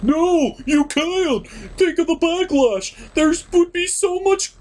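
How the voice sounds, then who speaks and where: A man's voice: very high voice, lively intonation, takes it slowly, darkish tone, slight background noise, pitched above the performer's own voice, exaggerated voice. A man voicing a panicky puppet sidekick in a comic review show, pleading frantically and shrilly. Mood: panicked.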